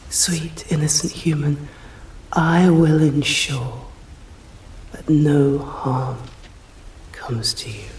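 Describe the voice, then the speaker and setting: English-accented female vampire, voiced by a woman for ASMR roleplay: stern yet protective, hushed and formal, very unhurried, with a reverberant room sound. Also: sits low, a little hiss or hum. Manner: slow hushed whispering, formal old-fashioned phrasing.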